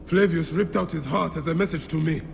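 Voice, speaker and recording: Grieving, vengeful warrior in a reverberant hall. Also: male voice, fairly high pitch, brisk, gravelly, slight background noise. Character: grieving, vengeful Egyptian warrior, a bereaved father. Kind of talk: dramatic video game cutscene acting.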